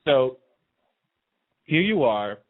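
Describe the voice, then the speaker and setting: A man's voice: medium pitch, big pitch swings, very slow, no room sound. Man telling stories over a phone-like line. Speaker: radio host. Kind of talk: casual chat, telling fan anecdotes.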